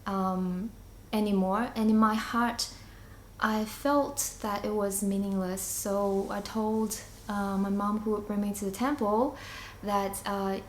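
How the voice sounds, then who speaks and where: A young woman from China and Singapore shares an unscripted personal testimony. She is reflective and halting, often pausing mid-sentence, with a medium-pitched voice. The room is noticeably echoey, with faint noise underneath. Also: level tone. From China, moved to Singapore at nine. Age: young adult. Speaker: church member giving a testimony.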